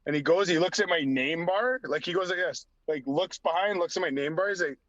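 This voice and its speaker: A man with a bright, pushing voice pitched a touch high, slightly raspy, telling stories with lots of energy. He acts out what people said and scatters "like" all through his talk. The sound is dull and lo-fi, with no room in it. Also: brisk, dry recording. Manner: acts out dialogue, heavy use of "like". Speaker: podcast guest, former hockey enforcer. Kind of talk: animated storytelling.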